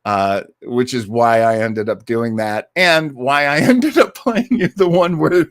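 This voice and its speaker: A man's voice: medium pitch, unhurried, no echo. Chatty, enthusiastic American man hosting, dry sound. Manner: enthusiastic, effusive about favourites. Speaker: host of an old-time radio listening livestream. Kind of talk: casual livestream chat with listeners.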